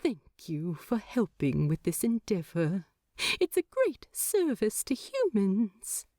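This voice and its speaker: A woman voicing a gentle, earnest male angel who speaks softly and formally. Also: mid-range voice, wildly swooping pitch, slow, no room sound, clean studio sound, heavily acted. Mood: tender. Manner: soft-spoken, formal, polite.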